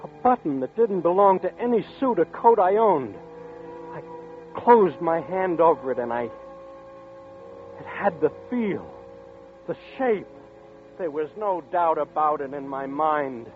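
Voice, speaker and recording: A nervous, brooding young man who tells his own nightmare in tense first person, his pitch rising and falling widely. The sound is a tinny vintage radio broadcast with music underneath. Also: animated, takes it slowly, long pauses. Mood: uneasy. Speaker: narrator and lead character. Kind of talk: 1940s radio acting.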